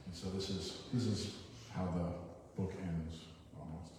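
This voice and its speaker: A man giving a poetry reading, with informal and somewhat halting spoken introductions. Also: low-pitched, fairly even intonation. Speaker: poetry reader at an open reading. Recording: very echoey hall, far mic, noisy.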